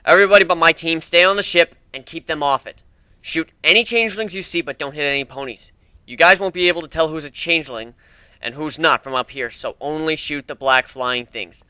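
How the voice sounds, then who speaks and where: Brash fantasy battle leader, played by a male reader: sharp, raised voice, commanding and mocking. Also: on the high side, brisk, clear, resonant tone, no room sound, studio quality. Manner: commanding, mocking, shouts orders.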